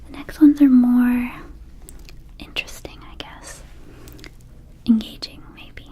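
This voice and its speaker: A woman voicing a gentle vampire dispensary keeper in a whispered ASMR roleplay, soothing and unhurried, with childlike wording. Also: fairly high pitch, fairly even intonation, husky, full character voice. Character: gentle female vampire dispensary keeper offering magic herbs.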